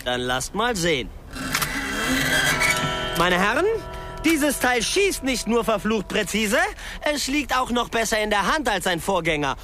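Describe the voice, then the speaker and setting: A man's voice: sits high, very animated, a little slow, pauses often, music in the background. An actor in a German radio crime drama playing a brash, foul-mouthed porn producer with a swaggering showman's manner. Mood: boastful, rousing.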